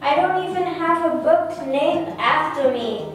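A woman's voice: high-pitched, animated, unhurried, heavy reverb. Puppet show prophet voiced by a woman: resigned, self-deprecating, distant mic with music behind. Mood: wry. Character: overlooked, resigned, plain-speaking prophet puppet. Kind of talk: children's church puppet show.

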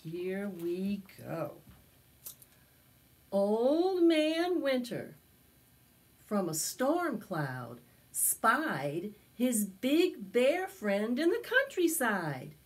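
An older woman hosting preschool storytime, reading a picture book aloud with expressive, drawn-out phrasing. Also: on the high side, husky. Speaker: library preschool storytime host. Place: small indoor space with decorated backdrop.

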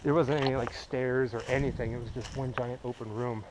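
A young man casually talking to a handheld camera while exploring woods and dark concrete ruins, swearing now and then. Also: medium pitch, fairly even intonation, a little fast. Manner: chatty, swears when startled. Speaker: urban explorer vlogger. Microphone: handheld action camera. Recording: noisy outdoor camera audio.

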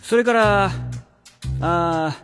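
Stuffy vice principal, highish voice, drags it out, big reverb over music, radio drama. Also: male voice, fairly bright tone, exaggerated voice. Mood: officious. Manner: halting, officious, with drawled hesitations. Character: middle-aged male vice-principal, evasive, condescending, officious. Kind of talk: Japanese radio drama acting. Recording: long reverb, music underneath.

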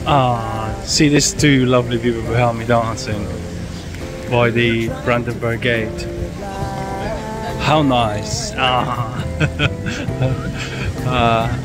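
A man's voice: medium pitch, fairly even intonation, takes it slowly, long pauses, over loud music. A man, a travel vlogger chatting casually and apologetically with strangers in an outdoor city square, filmed on a handheld camera at arm's length. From England. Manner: chatty, apologetic, laughs often.

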